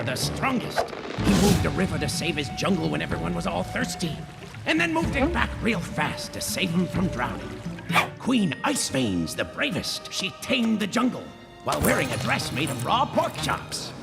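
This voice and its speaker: A brash old cartoon king with a high, gravelly voice that swoops wildly. He talks in slangy, boastful bursts, showing off like a folksy spirit guide. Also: wildly swooping pitch, normal speed, over loud music, exaggerated voice. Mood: proud, chatty.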